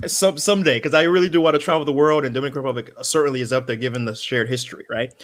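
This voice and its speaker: A man's voice: medium pitch, steady tone, very fast. A man hosting an interview show, talking casually and rambling with many restarts. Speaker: podcast host.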